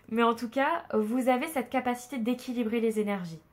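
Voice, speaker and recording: Woman, head cold, nasal and stuffy; chatty tarot reader, halting, lots of "euh". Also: a little high, a little mellow. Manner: hesitant, many fillers and restarts. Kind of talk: casual spoken tarot reading to viewers.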